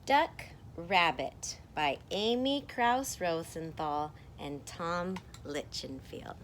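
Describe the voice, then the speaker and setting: Lively woman reading to children. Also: on the low side, slow, slight background noise. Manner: animated, encouraging, playful sound effects. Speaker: teacher. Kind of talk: reading a picture book aloud to children.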